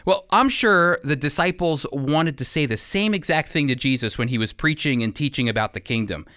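A man's voice: fairly high pitch, moderate pace, sharp tone, no room sound, studio quality. A man preaching as a radio Bible teacher in a conversational sermon style, earnest and emphatic.

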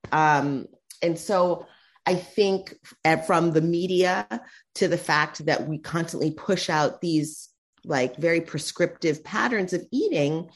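A woman speaking as a guest in a conversational interview, often tagging her points with "right?" and "you know". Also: measured pace. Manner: animated, pitch jumps when quoting others.

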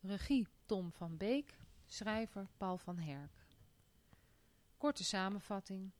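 Female narrator, medium pitch, scripted reading of credits, heard distantly from a phone speaker. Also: animated, slow. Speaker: narrator reading audio play details.

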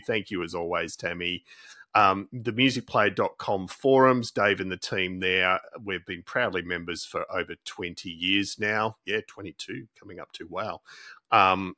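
A man's voice: on the low side, bright, forceful tone, dry recording, studio quality. A man hosting a casual interview podcast, effusive and affirming as he talks. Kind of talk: casual interview and show sign-off.

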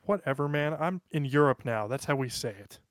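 A man's voice: on the high side, animated, brisk, no room sound, clean studio sound. An American man co-hosting a podcast in casual, joking chat, listing off sports names. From United States. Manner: joking, rattles off sports lists. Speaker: podcast co-host.

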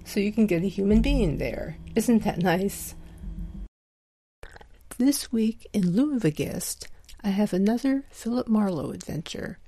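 A woman's voice: mid-range voice, lively intonation, a little slow, mellow, low-quality recording. A woman hosting a podcast, reading a scripted introduction and sponsor message. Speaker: podcast host.

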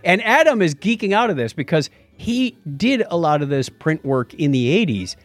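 A male podcast host chats casually in a fairly high voice that swings widely in pitch. He tells stories in an animated, conversational way, recorded close and dry. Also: normal speed, no echo, soft background music.

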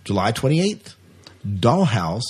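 A man's voice: medium pitch, big pitch swings, slow pace, husky. A man co-hosting a podcast, talking through entertainment news in a casual, talkative way with repeated phrases for emphasis. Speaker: podcast co-host.

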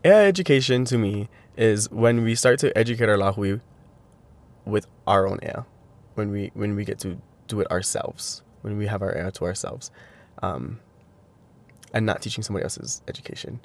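Brief: young man from Hawaii, lowish voice, halting, filler-heavy interview answers. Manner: hesitant, many fillers and restarts. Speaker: interviewee, language teacher.

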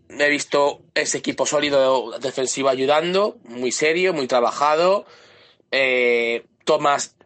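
A man's voice: medium pitch, fairly bright tone. A man with a sore throat, sending a rambling voice message to a fan podcast. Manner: rambling, loses his thread and restarts often. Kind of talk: casual voice message.

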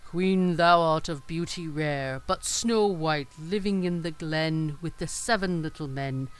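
A woman voicing a magic mirror that speaks slowly and gravely. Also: low voice. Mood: solemn.

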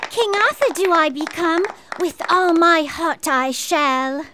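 A woman's voice: extremely high pitch, animated, slow pace, very noisy, exaggerated voice. A woman playing an earnest, bookish cartoon schoolgirl who speaks in a precise, formal, thoughtful way. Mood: solemnly proud.

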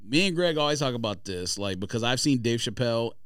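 A man's voice: mid-range voice, expressive, fast talker, a bit bright, clean tone, no room sound, clean studio sound. A man in casual podcast banter, arguing his points in slang as a host. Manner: argumentative, slangy.

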